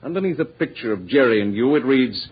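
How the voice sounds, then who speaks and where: An actor playing a proud, wealthy wronged husband with formal, theatrical speech in 1940s radio drama style. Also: male voice, mid-range voice, expressive, fairly quick, slightly rough. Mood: coldly accusing. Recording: muffled, dull vintage 1940s radio recording.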